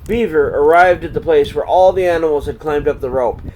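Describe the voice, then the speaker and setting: A man reading a children's storybook aloud as a storyteller, with deliberate phrasing and pauses. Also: a little high. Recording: steady air-conditioner noise behind.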